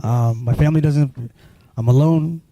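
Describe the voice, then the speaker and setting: Guest, male, from Sudan, deep mellow tone, halting delivery, dry close mic. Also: no room sound. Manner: halting, full of restarts and "you know". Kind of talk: personal storytelling interview.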